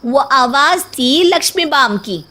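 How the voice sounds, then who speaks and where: A woman's voice: high voice, lively intonation, a little slow, bright, forceful tone, exaggerated voice. A woman playing a brash, feisty young daughter-in-law in a story, with bossy, cheeky, slangy street-smart talk. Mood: triumphant. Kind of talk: animated Hindi story voice acting.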